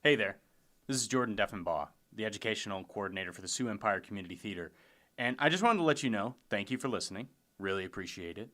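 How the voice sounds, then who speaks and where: A man's voice: lowish voice, animated, quick pace, fairly bright tone. A man making an informal, conversational promotional appeal as a theater staff member. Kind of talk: casual promotional announcement.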